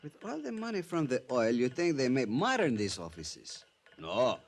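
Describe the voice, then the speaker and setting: An actor playing a relaxed Venezuelan police chief in his thirties, speaking leisurely, hesitant broken English with a Spanish accent in an old-time radio drama. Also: mid-range voice, normal speed. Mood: apologetic, rueful. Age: 30s.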